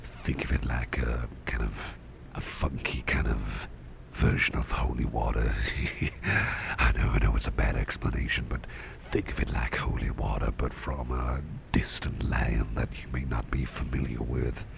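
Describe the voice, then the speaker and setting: A man playing a caring, protective boss in an ASMR roleplay, speaking in a gentle, breathy near-whisper with reassuring, hesitant pauses. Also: steady tone, heavily acted. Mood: reassuring, unhurried. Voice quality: breathy near-whisper with heavy low creak. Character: caring, protective male boss tending to his employee. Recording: close recording with clear background noise.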